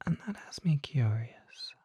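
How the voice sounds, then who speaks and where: Courteous ageless vampire collector, played by an actor for ASMR roleplay: very breathy whisper, slow and genteel. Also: mid-range voice, sing-song, warm tone, dry recording, clean studio sound, exaggerated voice. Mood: coaxing, curious. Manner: slow, genteel, formal phrasing. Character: courteous, curious old vampire collector and magic shopkeeper. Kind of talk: ASMR roleplay, soft intimate monologue.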